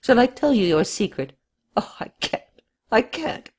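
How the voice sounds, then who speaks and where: Woman reading as a crippled villain, murderous, desperate, husky, pleading then shouting, audiobook. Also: on the low side, animated, moderate pace. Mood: raging. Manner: wild swings from pleading to shouting.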